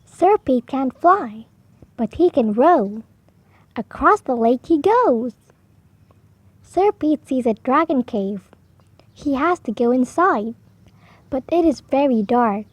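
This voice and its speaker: A woman reading a children's picture book aloud slowly and clearly, with expressive delivery for beginner readers. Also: high voice, dry recording. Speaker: storybook reader. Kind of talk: slow children's read-aloud.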